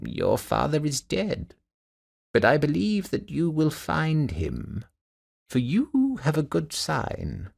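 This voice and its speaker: A man voicing a kindly old woman seer in a fairy tale, speaking softly and slowly. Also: on the high side, huge pitch swings, gravelly, pitched above the performer's own voice, full character voice. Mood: solemn, encouraging. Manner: soft, slow, drawn-out.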